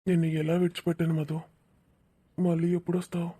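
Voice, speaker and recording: A tender young male lover from a cartoon romance, acted by a woman, soft and romantic. The voice is low, dark and husky, with an even, restrained melody. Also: fast talker.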